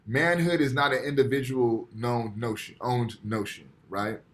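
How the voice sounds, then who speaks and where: A man's voice: medium pitch, steady tone, measured pace. A man with an ordinary mid-range voice teaches a university class over a video call. He explains patiently, point by point, and keeps checking in with his students by asking "right?". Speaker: university lecturer. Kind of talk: online lecture. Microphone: computer mic on video call.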